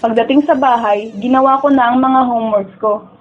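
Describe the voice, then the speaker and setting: A woman's voice: a little high, fairly even intonation, fairly quick, lightly acted. A woman with a Filipino accent playing a wistful, lovestruck young student who narrates her dreams in a radio drama. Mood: reflective. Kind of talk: first-person radio drama narration and dialogue. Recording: dull lo-fi audio, music bed. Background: background music.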